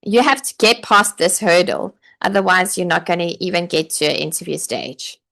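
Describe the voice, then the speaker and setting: A woman's voice: on the low side, moderate pace, gravelly, fairly bright tone. A woman coaching aspiring online teachers in a live stream, chatty and encouraging, with many "like" and "okay" fillers. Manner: chatty, encouraging, reads viewer comments aloud. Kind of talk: live online training session.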